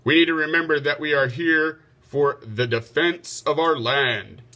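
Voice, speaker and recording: A man's voice: fairly high pitch, measured pace, bright, forceful tone. A man voicing a commanding, stately storybook king, formal and declamatory. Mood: measured authority. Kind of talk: storybook read-aloud.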